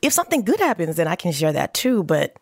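Sassy woman guest, low voice, dry. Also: quick pace, no echo. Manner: sassy, animated storytelling. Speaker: podcast guest. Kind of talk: casual podcast chat.

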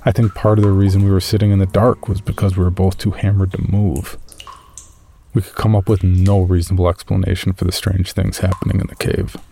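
Man acting as weary caver, deep gravelly voice, mellow delivery, uneasy horror journal narration. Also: flat delivery, brisk, slight background noise. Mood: measured, uneasy. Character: weary male amateur caver recounting his journal, uneasy. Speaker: narrator of an audio horror story. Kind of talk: first-person horror story narration, read as a journal.

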